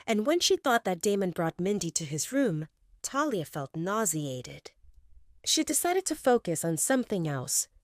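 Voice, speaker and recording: Lady reading a romance story, lowish voice that swings up and down a lot, super dry sound. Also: no echo. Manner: expressive, swinging in tone. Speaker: narrator. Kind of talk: scripted audio story narration.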